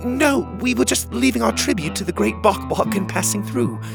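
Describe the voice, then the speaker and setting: A man voicing a nervous young female creature, sounding hurried and anxious. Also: sits high, creaky, over music, voice pushed up.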